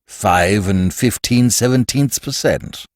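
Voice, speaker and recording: A man's voice: on the low side, slow pace, no room sound, studio quality, voice pushed up. A man voicing a jovial, fat older schemer who talks in a complacent, throaty purr.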